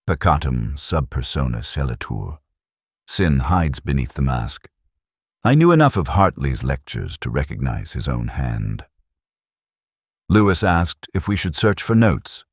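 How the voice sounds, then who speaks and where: A man narrating a first-person detective story as a police inspector, in a measured, solemn, literary manner. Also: rumbling low, sing-song, husky, no echo, clean studio sound.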